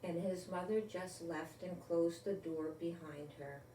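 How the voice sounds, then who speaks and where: Older female storyteller for children's book read-aloud; slow, deliberate, expressive emphasis; echoey, distant sound. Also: fairly low pitch, a little hiss or hum. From Rhode Island, United States. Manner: deliberate, expressive, with repeated emphasis on key words. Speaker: grandmother storyteller. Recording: roomy, echoey, distant from the mic.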